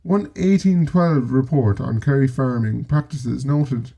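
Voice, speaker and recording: A man with an Irish accent narrating a scripted history piece in a measured storytelling way, dropping in wry asides. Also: medium pitch, vocal fry, warm tone, slightly rough. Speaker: presenter.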